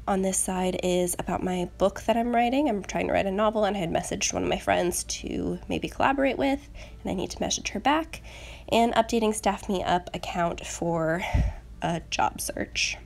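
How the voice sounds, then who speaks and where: A young woman, slightly raspy as she gets over a cold, chattily narrating a craft video in a casual, self-deprecating way. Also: medium pitch, a little mellow. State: getting over a rough cold. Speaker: planner channel host.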